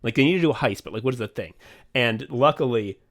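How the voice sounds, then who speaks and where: A young man chatting casually as a podcast co-host, rambling excitedly and swearing often as he walks through his own thinking. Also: medium pitch, fast talker. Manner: rambling, profane, excitable, acts out his own thought process. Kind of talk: casual behind-the-scenes chat.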